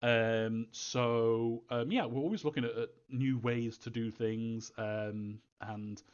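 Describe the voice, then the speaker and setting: Man, mid-pitched voice, halting and rambling, developer fielding fan questions, dull low-fidelity sound. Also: fairly even intonation. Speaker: visual novel developer answering fan questions. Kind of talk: informal live Q&A, thinking aloud and reading questions.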